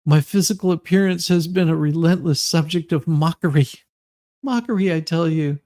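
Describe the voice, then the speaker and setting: A man acts out an aggrieved woman in her thirties, solemn and theatrical, in a comic dramatic reading. The voice is gravelly and dry, heavy with self-pity. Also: on the high side, moderate pace, mellow, no echo, close to an everyday voice.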